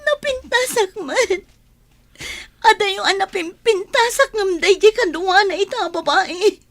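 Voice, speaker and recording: A woman with an Ilocano accent playing a jealous, hot-tempered, heartbroken village woman in a radio drama, loud and tearful. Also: very high-pitched, a little slow. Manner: shouting and tearful.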